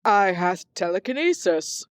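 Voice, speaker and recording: An amateur performer voicing an angry, pompous dark wizard villain who speaks in drawn-out, mock-archaic declarations in a comedic table read. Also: medium pitch, sing-song, clean tone, dry recording. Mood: menacing.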